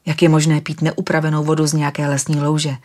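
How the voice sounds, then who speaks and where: A woman's voice: low-pitched, completely flat delivery, close to an everyday voice. A woman reading aloud, voicing a tired, agitated man who fires off indignant questions in a raised voice. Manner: loud, exasperated questioning.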